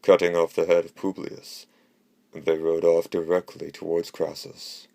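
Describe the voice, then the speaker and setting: Guy reading an old history book, really low dark voice, totally flat, dry close sound. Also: a little slow, creaky, dry recording. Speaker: audiobook narrator. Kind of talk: scripted narration, reading classical history.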